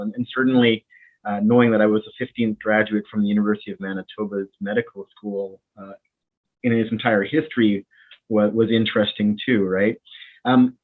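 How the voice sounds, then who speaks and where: A man who grew up in Canada after moving from the Bahamas, speaking with a Winnipeg accent as a doctor in a casual interview, friendly and animated, often repeating words as he starts a thought. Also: fairly low pitch. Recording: tinny phone-like line.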